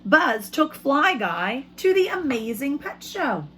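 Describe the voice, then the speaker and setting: A woman speaking as an elementary school teacher, reading aloud to children in simple, expressive language and putting questions to them. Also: high-pitched, slow, slight background noise.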